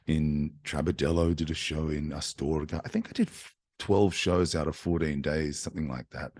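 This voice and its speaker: A man, a singer-songwriter, telling a story in a chatty, animated way to an online audience over a video call. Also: low voice. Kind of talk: casual talk to an online audience.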